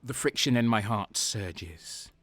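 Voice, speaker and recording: A man's voice: lowish voice, animated, slow, fairly bright tone, no room sound, full character voice. A man playing a bitter, hardened traitor soldier, narrating an audio drama in the first person with a grim, brooding manner. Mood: intense, conflicted. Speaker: narrator and protagonist.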